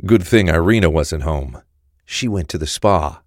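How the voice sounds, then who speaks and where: A male narrator tells a scripted crime story. His voice is very low, and the recording is close and free of echo. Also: lively intonation, unhurried.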